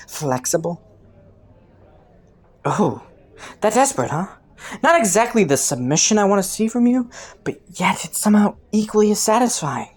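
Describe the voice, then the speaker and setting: Low-voiced, flirty, smug criminal informant played by a woman. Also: full character voice. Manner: teasing, playful, drawn-out words with chuckles and sighs. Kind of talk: scripted roleplay audio, intimate second-person monologue.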